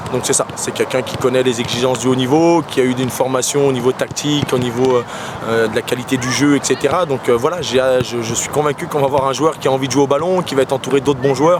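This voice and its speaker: A man speaking as a soccer coach in an outdoor interview, talking quickly with many restarts and fillers. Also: medium pitch. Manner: rapid, full of restarts and fillers. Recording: noisy outdoor background.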